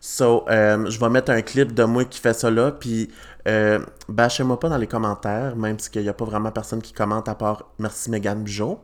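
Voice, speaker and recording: A man's voice: on the low side, flat delivery, moderate pace. A young man in his twenties with a Québécois accent, hosting a casual podcast and telling self-mocking stories with lots of laughter. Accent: Québécois French. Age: 20s. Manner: joking, self-mocking storyteller with frequent laughs. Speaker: podcast host. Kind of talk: casual chat.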